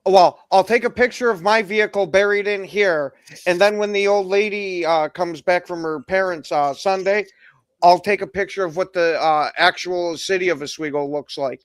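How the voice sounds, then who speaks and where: A man's voice: sits high, moderate pace, smooth, no room sound. An American man co-hosting a sports show in casual, jokey, profane banter.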